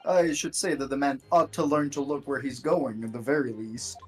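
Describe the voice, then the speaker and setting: A man's voice: medium pitch, fairly even intonation, moderate pace, soft background music, voice pushed down, lightly acted, rough recording. A man voicing a stern, pompous older male judge who speaks in a formal, imperious way, in a fan dub of a game.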